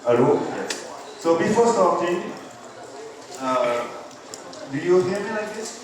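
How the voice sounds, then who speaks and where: Slow man lecturing in an echoey hall. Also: high voice, very animated. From France. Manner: halting, many fillers and repeated okays. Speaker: university physics professor. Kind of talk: classroom lecture. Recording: echoey hall from far off with crowd murmur.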